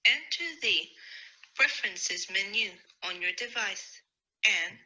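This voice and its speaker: A woman's voice: mid-range voice, lively intonation, takes it slowly, pushed and bright, full character voice, rough recording. A woman playing a repetitive automated Bluetooth car assistant in a table read of a stage play, with a stilted announcement delivery. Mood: automated, insistent.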